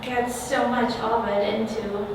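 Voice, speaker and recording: A woman working as an oral history interviewer, speaking informally in a halting, hesitant way. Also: on the high side, little pitch movement. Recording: very echoey room, distant mic, noisy.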